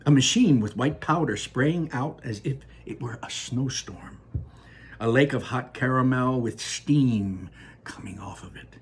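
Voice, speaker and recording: A man reading a children's book aloud in a lively, expressive way, adding asides of his own. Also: medium pitch, breathy edge. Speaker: reader.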